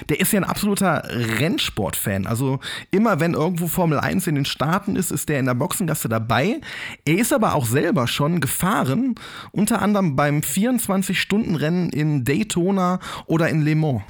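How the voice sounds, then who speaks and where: A man's voice: a little high, big pitch swings, normal speed. A man co-hosting a podcast, chatting casually and sharing trivia with playful teasing. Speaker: podcast co-host. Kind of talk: casual chat.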